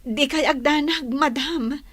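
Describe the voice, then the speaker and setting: A woman's voice: high voice, a little slow, fairly bright tone. A woman playing a shy, humble young village girlfriend in an Ilocano radio comedy drama, with a hesitant, demure manner. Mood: humble, earnest.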